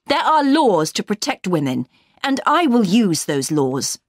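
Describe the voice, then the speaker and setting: Audiobook heroine acted by a woman: determined, protective, forthright, animated intonation. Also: mid-range voice, unhurried, breathy edge, dry recording, clean studio sound. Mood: furious. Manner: forthright, earnest. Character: determined gentlewoman heroine, bold, protective and outspoken.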